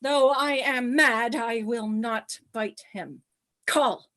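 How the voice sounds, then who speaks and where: A tempestuous queen of Shakespearean radio drama. Her voice is high and bright, drawn out at a slow pace. She is imperious, lovesick and melodramatic. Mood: imperious, seething. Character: tempestuous, lovesick queen Cleopatra raging at a messenger. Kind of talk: theatrical Shakespearean radio play acting.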